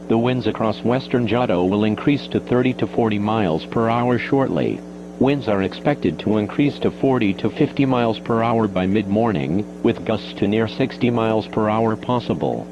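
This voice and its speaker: A man's synthetic-sounding voice reads a scripted emergency weather bulletin in a formal, measured way, like an automated announcer. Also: lowish voice. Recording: muffled noisy weather-radio broadcast with hum.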